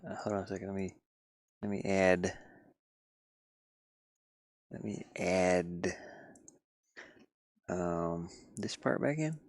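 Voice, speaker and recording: A man's voice: sits low, takes it slowly, long pauses, no room sound, clean studio sound. A man from the United States chatting casually on a live game stream, rambling and muttering to himself as he works.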